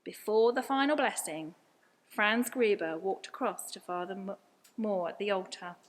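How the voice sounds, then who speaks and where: A woman's voice: mid-range voice, normal speed, low-quality recording. A woman reading a scripted story aloud as a storyteller. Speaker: story reader.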